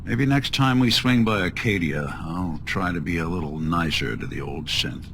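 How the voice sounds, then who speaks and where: An actor playing a weary, wry synthetic detective in a video game, with hardboiled, slangy phrasing. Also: male voice, sits low, normal speed, vocal fry, noisy background. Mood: reflective. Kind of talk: video game dialogue acting.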